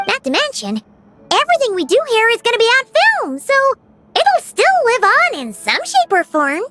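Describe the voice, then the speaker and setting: A woman voicing a small, cheerful childlike companion in an anime-style video game, squeaky, eager and earnest. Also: moderate pace, very noisy, full character voice. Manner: eager, chirpy, expressive. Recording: loud music behind the voice. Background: game music.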